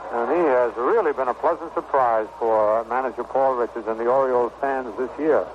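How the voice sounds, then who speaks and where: An American man calling baseball play-by-play in a 1960s radio style, measured, with pauses between short calls. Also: mid-range voice. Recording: noisy, muffled vintage 1960 radio broadcast.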